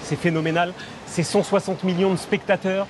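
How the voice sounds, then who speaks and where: A man's voice: sits high, level tone, normal speed, background noise. A man presenting a film segment on a talk show, enthusiastic and full of restarts. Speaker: film columnist. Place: outdoors at a café terrace.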